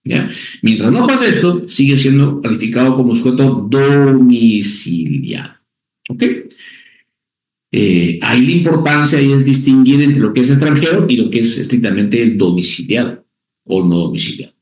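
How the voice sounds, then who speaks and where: A man's voice: mid-range voice, big pitch swings, moderate pace, darkish tone. A man teaching a tax class, repeating key points and checking in with short questions. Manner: repetitive, checks in with "¿ok?" and "¿ya?"; stresses key words by repeating them. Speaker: tax instructor. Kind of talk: recorded class lecture. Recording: echoey room.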